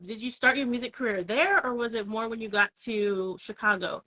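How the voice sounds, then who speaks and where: A woman's voice: fairly high pitch, a little fast, sharp tone, poor audio. A woman hosting a music interview, chatty and enthusiastic, with lots of fillers, on a video call. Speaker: host, music blogger. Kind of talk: casual interview chat.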